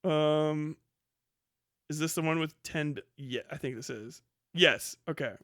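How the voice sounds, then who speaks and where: A man's voice: fairly high pitch, unhurried, fairly bright tone, no echo, clean studio sound. A man hosting a livestream with casual reaction commentary, talking and reading aloud in a blunt, mocking, profane way. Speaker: livestream host.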